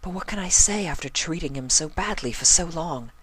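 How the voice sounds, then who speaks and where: A man's voice: on the high side, animated, fairly quick, a bit bright, slightly rough, pitched above the performer's own voice. A man voicing a refined, dramatic female pony with dainty, genteel phrasing in a storybook reading. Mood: anxious. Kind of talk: storybook character voice in fan fiction reading.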